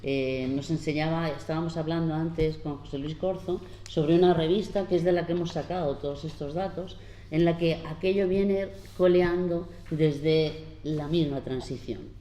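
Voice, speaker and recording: A woman in her fifties from La Mancha gives an earnest, unhurried public talk as a panel speaker, pausing often as she explains. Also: sits low, fairly even intonation, mellow, muffled recording. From La Mancha, Spain. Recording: roomy hall with faint background noise.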